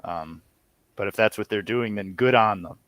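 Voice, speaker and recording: A man's voice: fairly low pitch, normal speed, fairly bright tone, no echo. A man in his thirties talking casually as an interview guest in a rambling, wry, self-deprecating way. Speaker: guest, audio series co-creator and musician.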